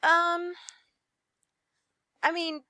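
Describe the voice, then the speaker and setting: A young woman, a voice actress, answering interview questions in a lively conversational way with frequent "um" and "you know". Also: extremely high pitch, drawn-out words, no room sound, studio quality. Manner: animated, many fillers like "um" and "you know". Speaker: voice actress guest.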